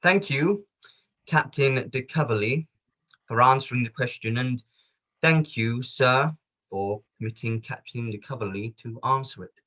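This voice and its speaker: A man playing a formal, probing barrister who questions witnesses in a measured, courteous way, in a table read of a courtroom play. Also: medium pitch, bright, forceful tone, rough recording.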